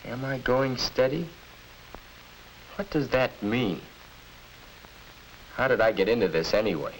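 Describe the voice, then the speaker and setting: A man's voice: mid-range voice, expressive, unhurried, low-quality recording. An American actor playing a puzzled, earnest teenage boy in a hesitant, musing way, in 1950s educational film style. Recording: hissy 1950s film soundtrack.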